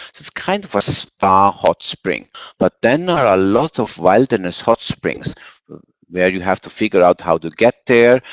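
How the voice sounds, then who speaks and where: A middle-aged or older man with an Icelandic accent presents travel information informally. His voice sits fairly low. The sound is a bit dull, as heard through an online webinar. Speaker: guest presenter, travel marketer. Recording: muffled, roomy online webinar audio.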